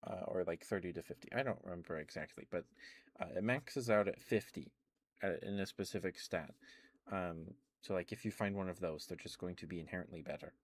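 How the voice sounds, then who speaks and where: A man with a deep voice chats casually in the manner of an ASMR streamer. He rambles haltingly, often stammering and restarting his phrases. The sound is close on the microphone and very dry, with hardly any room to it. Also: no room sound. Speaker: livestream host.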